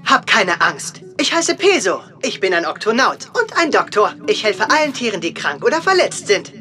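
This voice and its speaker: A woman voicing a small, caring, anxious young male penguin medic in a children's cartoon audio drama, gentle and earnest. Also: mid-range voice, very animated, moderate pace, faint music behind. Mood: gentle, reassuring.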